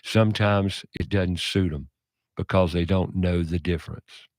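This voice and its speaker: Loud, passionate male radio host. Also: deep voice, steady tone, measured pace, no room sound. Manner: loud, passionate, preachy delivery. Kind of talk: casual radio talk.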